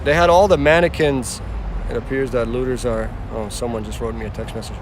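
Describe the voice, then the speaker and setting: A man's voice: mid-range voice, steady tone, a little fast, a little hiss or hum. Rambling man livestreaming from a car. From former Yugoslavia. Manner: rambling, repeats himself, talks back to chat. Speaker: rideshare driver livestreaming. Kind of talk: casual livestream chat with viewers. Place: inside a car on city streets.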